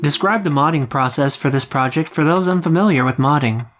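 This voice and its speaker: A man's voice: fairly high pitch, fairly quick, music in the background. A man reading out scripted interview questions as a podcast host.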